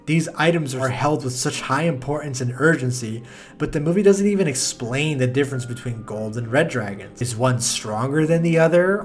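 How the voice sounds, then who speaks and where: Sardonic man narrating a film review. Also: mid-range voice. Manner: sardonic, profane, exasperated. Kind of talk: video essay narration.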